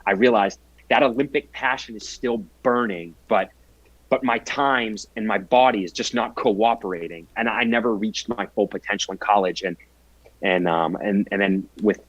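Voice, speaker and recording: Twenties male guest, husky voice, sincere storyteller, dry sound. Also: fairly low pitch, normal speed, no room sound, lo-fi sound. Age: 20s. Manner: earnest, reflective storytelling. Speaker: guest athlete. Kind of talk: casual interview.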